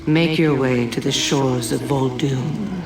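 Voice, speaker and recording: A woman's voice: extremely low pitch, slow, background noise, full character voice. Creepy scheming priestess for a game, silky n theatrical, takes her time, echoey. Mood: sinister, silky. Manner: theatrical, portentous, hushed insinuation. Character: scheming female dark priestess of the deep, silky, fervent. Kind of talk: video game cinematic voice acting. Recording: echoey, loud music behind.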